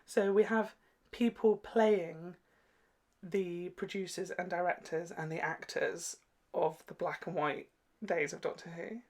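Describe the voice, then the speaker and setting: A woman hosting a reaction show, chatting casually and explaining things in an animated, giggly way. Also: lowish voice, unhurried.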